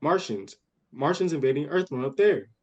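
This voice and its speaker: A man's voice: on the high side, animated, normal speed, slightly rough. Radio play child: male actor as imaginative young girl, eager, impatient, bossy. Dull recording. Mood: earnest, excited. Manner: impatient, earnest. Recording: dull lo-fi audio.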